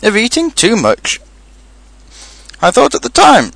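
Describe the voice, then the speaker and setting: Man as a fussy, high-pitched storybook rabbit. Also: wildly swooping pitch, a little fast, gravelly, fairly bright tone, full character voice. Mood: stern. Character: fussy, brisk, politely stern male storybook rabbit. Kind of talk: storybook character reading.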